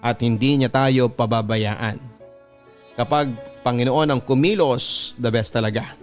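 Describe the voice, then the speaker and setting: A man's voice: mid-range voice, unhurried. A man with a Filipino accent, a radio host reading listener letters as earnest, scripted first-person storytelling. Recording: music bed under the voice. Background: background music.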